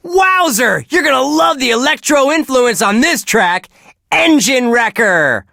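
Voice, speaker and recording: An actor playing a relentlessly cheerful, wholesome radio DJ in a video game, gushing with folksy exclamations. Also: male voice, extremely high pitch, lively intonation, a little slow, vocal fry, pushed and bright, no room sound, studio quality, heavily acted. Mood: excited, gushing. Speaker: radio DJ host.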